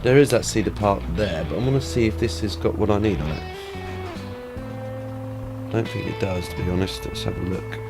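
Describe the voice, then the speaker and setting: Brief: male gaming host, lower voice, sniffly head cold, rambling chatty commentary, faint music underneath. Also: brisk, gravelly, pauses often. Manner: chatty, rambling, many ums and uhs. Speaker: let's play host. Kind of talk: casual gameplay commentary.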